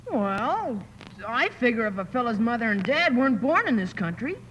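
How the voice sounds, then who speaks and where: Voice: medium pitch, big pitch swings, normal speed, a bit bright, slight background noise. An actor playing a brash, mischievous, defiant neighborhood boy in 1940s radio acting, on a reverberant old broadcast. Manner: blunt, defiant.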